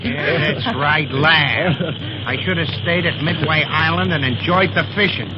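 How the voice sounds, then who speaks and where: An actor playing a comic, grumbling, slow-witted pilot sidekick with folksy, exaggerated talk in a 1930s radio serial. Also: male voice, fairly high pitch, animated. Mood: comic indignation. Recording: noisy muffled 1930s radio recording.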